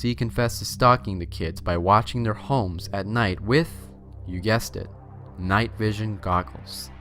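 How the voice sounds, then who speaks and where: A man's voice: lowish voice, a bit bright. A man hosting and reading a scary story as narration, with a theatrical, suspenseful delivery. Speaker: host and story reader.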